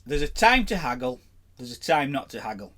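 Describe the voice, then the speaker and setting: A man's voice: mid-range voice, sing-song, brisk, fairly bright tone. A man, a podcast co-host and tattooist, chatting bluntly and often restarting his sentences. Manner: chatty, blunt, repeats words when starting sentences. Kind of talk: casual chat.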